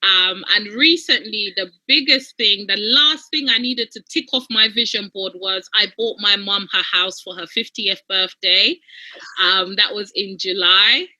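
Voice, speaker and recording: A woman's voice: mid-range voice, a little slow, bright, forceful tone, no room sound, low-quality recording. A thirty-year-old woman from Uganda giving a casual, effusive testimony, repeating points for emphasis. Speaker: guest giving a success testimony.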